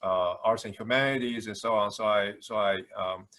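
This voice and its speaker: Halting older man with a Taiwanese accent. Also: on the low side, steady tone, bright, forceful tone. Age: 60s. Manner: halting, frequent hesitations and repetitions. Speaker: university administrator introducing himself. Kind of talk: informal introductory speech. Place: video call.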